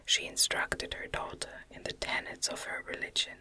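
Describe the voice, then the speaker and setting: A woman reading a gothic novel aloud as an ASMR narrator, in a gentle, measured whisper. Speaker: audiobook reader.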